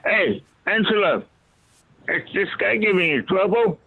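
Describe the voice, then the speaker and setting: Through a tinny, phone-like line, a man plays a jaded cleanup worker. He is cocky and knowing, with a fairly high male voice, radio-drama style. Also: animated, unhurried, breathy edge, heavily acted. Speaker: amateur actor in a community radio play. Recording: thin, phone-like remote connection.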